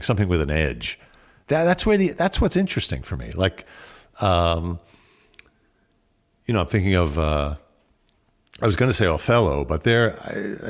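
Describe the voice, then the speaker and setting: A man with a low, animated voice speaks as a guest in an interview. He sounds reflective and wry, with a self-deprecating touch, in an easy conversational style. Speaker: guest, actor and standup comedian.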